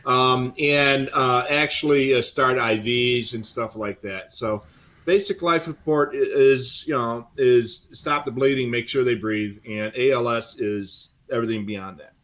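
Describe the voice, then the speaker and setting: An older man explaining things in casual, halting conversation as a co-host. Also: medium pitch, a bit bright. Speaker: co-host and former firefighter. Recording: noticeable background noise.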